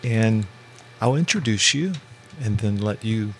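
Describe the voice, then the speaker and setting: A man's voice: fairly low pitch, animated, unhurried, a bit bright, a little hiss or hum. A male podcast host asking questions in a hesitant way, with many fillers and restarts. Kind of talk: casual interview.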